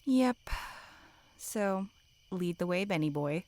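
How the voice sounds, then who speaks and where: A woman's voice: fairly low pitch, expressive, slow pace, warm tone, no echo. A woman playing a sassy, teasing young female camp counselor in an audio drama, with a flippant, playful manner. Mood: playful, inviting.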